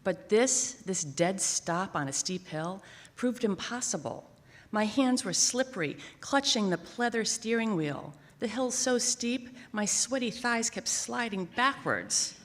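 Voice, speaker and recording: A woman writer reading a personal essay aloud at a live event, expressive and emphatic. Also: medium pitch, unhurried. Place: theater stage at a library, live event. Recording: echoing theater with long reverb.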